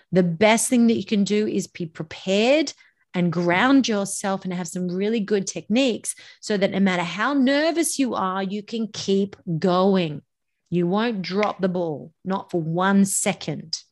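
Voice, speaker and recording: A middle-aged woman, a voice coach, giving emphatic public speaking advice in a podcast interview. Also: mid-range voice. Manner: animated and emphatic, stretches words for effect. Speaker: voice coach, guest.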